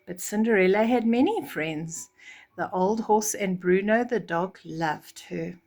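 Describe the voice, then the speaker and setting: Older female bedtime storyteller, mid-pitched, reading to young children and explaining as she goes. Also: unhurried, darkish tone, soft background music.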